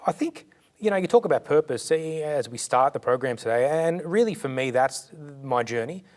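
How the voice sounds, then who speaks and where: A middle-aged man with an Australian accent answers interview questions. His voice sits in a middle pitch, and he speaks earnestly and fluently, now and then restarting a phrase. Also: lively intonation, moderate pace, a little mellow. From born in India, raised in Sydney. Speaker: charity director, guest.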